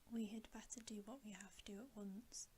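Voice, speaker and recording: A woman's voice: medium pitch, steady tone, normal speed, warm tone, a little hiss or hum, lightly acted. A woman voicing a wary male dwarf servant, cautious and counselling, in a whispered storybook reading. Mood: scheming.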